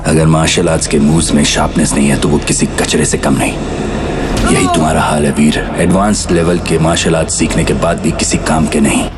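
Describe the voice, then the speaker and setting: Stern martial arts master: rock-bottom deep voice, flat and contemptuous, audio drama. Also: male voice, fairly quick, music in the background. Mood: scornful. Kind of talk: Hindi audio drama acting.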